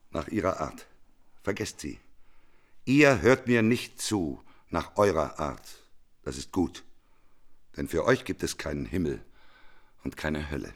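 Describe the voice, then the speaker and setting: An actor playing a bitter doomsday prophet in a radio play, preaching in a declamatory, incantatory way. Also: male voice, medium pitch, a bit bright. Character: bitter male doomsday prophet cursing his crowd.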